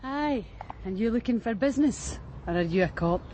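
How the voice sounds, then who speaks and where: An actress playing a brash, streetwise Glasgow streetwalker in a radio drama, breezy and frank, with a Glaswegian accent. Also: female voice, a little high, lively intonation, moderate pace, mellow, close to an everyday voice. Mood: brash, dismissive. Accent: Glaswegian Scottish. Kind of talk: naturalistic radio drama acting. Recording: faint urban street noise.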